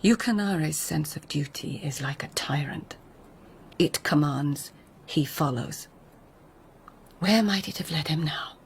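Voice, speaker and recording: An actress playing a poised, guarded court lady of old Japan in a radio drama, breathy and husky, with measured, understated delivery. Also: low-pitched, a little hiss or hum. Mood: quietly pleading. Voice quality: breathy, husky, near whisper. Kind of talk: radio drama acting, intimate narration and dialogue.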